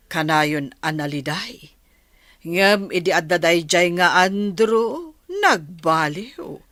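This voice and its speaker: Slow, low-voiced scheming stepmother. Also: fairly bright tone, no echo. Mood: feigned sweetness. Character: scheming middle-aged stepmother, sly, manipulative villainess. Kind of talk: radio soap drama acting.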